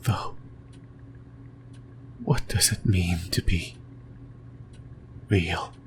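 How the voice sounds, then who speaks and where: A man voicing a lonely, possessive sleep paralysis demon in a creepy, intimate ASMR monologue, whispering breathily and haltingly close to the mic. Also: deep voice, steady tone, noisy background. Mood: eerie, musing. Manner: slow, halting, rambling, intimate. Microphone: close microphone, whispering into the ear.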